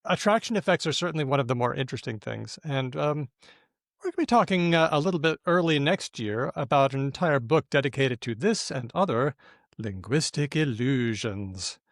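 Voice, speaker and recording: A man's voice: medium pitch, expressive, moderate pace, dry recording, clean studio sound. A man co-hosting a language podcast in casual chat. He is enthusiastic, laughs often and lets his voice jump upward. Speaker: podcast co-host.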